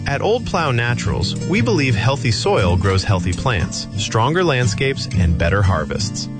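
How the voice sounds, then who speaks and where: A man voicing a radio ad in a warm, assured manner. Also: medium pitch, sing-song, a little slow. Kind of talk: scripted radio ad. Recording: voice over loud guitar music.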